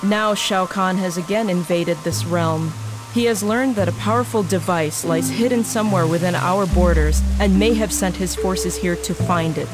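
A woman's voice: lowish voice, normal speed, lightly acted. An actress playing a regal young female warrior princess in a video game cutscene, commanding and urgent. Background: music.

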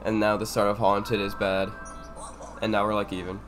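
Sick young guy streaming from his room, sniffling, kinda low voice, mumbly chill commentary. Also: flat delivery, normal speed, fairly bright tone, faint music behind. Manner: low-key, mumbling asides while playing. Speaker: speedrunner streamer. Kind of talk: casual live-stream commentary. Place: home room with air conditioner.

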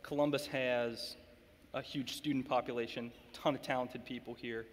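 A man's voice: medium pitch, steady tone. Man asking questions in a reverberant hall. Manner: measured, sets up questions with context. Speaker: interviewer. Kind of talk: onstage interview questions. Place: large hall stage, seated in armchair. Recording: long hall reverb, faint room noise.

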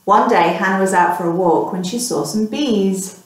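A woman's voice: mid-range voice, moderate pace. A woman in her thirties reading a picture book aloud to a child, as a teacher and mother would. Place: small plain room indoors. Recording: very echoey room, distant microphone.

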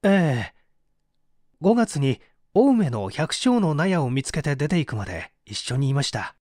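A man's voice: a little high, measured pace, no room sound, studio quality. A man reading dramatically as a bitter, despairing painter who gives testimony in a formal, confessional way. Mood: defensive, then earnest, troubled. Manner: confessional, expressive, formal narrative delivery.

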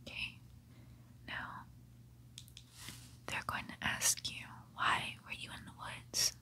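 A woman in her twenties playing a gentle, protective female vampire in a tender, soothing, breathy ASMR roleplay whisper, close to the mic. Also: slow. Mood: careful, protective. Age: 20s. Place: small bedroom, indoors. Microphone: close-up mic, face near camera.

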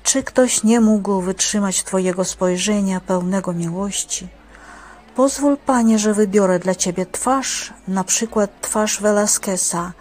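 A woman's voice: medium pitch, measured pace, darkish tone, close to an everyday voice. A woman playing an earnest, devout believer in a religious drama, speaking in a respectful, declamatory way. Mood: pleading, admiring. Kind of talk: dramatic religious stage-play acting.

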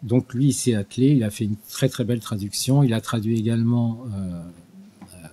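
An older man giving an unscripted talk in a small studio at a desk microphone, halting with frequent restarts and fillers. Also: mid-range voice, dark tone. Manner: hesitant, many restarts and fillers. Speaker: guest lecturer. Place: small studio with dark purple backdrop. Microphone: desk mic on stand.

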